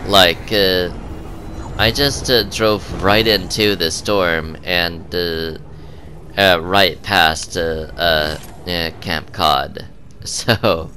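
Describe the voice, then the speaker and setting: Low-voiced guy narrating his game slow and halting, lots of uhs, bit sniffly, faint game noise behind. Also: bright, forceful tone. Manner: halting, many ums and uhs, chuckles often. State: sniffly with a cold. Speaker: gaming channel host. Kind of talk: casual gameplay commentary.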